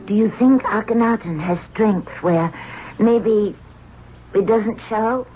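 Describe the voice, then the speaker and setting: A woman's voice: mid-range voice, slow pace, a little hiss or hum, lightly acted. An actress playing a proud, inquisitive young noblewoman of ancient Egypt, theatrical and probing in the manner of 1970s radio drama. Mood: wistful. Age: young adult. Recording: muffled old radio recording with music.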